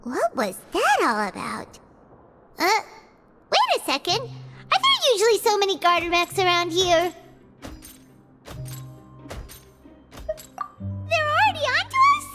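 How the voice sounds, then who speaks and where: A woman voicing a small, excitable, easily frightened childlike fairy companion in a video game, with a squeaky voice. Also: moderate pace, exaggerated voice. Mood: puzzled, then flustered. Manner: refers to self in third person, exclamatory. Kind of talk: English video game voice acting. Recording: music under the voice. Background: game music.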